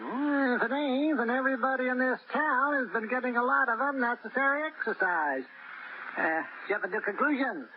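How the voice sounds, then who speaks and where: An actor playing a nosy, folksy small-town station master in a 1940s radio drama, drawling with hesitant fillers. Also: male voice, very high-pitched, normal speed. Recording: muffled 1940s radio broadcast, hiss.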